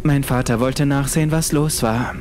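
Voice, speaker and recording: A man's voice: mid-range voice, steady tone, normal speed, a little mellow, a little hiss or hum. A man reading a scripted horror story as the narrator of an audiobook. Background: music.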